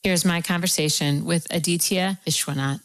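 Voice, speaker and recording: Brief: female interview host, very low voice, playful and self-deprecating. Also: steady tone, moderate pace, soft background music, rough recording. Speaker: podcast host. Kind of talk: interview hosting.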